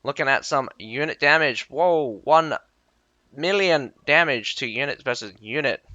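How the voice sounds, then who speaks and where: A man's voice: mid-range voice, animated, measured pace, bright, forceful tone, no echo, highs cut off. A young man casting a strategy game live and chatting with viewers in a chatty, self-deprecating way. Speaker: game caster and streamer. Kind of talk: live game commentary with chat banter.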